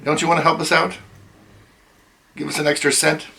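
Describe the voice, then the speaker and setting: A middle-aged man hosting a casual chat, rambling with many ums, his voice going hoarse. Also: a little high, flat delivery, normal speed, pushed and bright. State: losing his voice. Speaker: host.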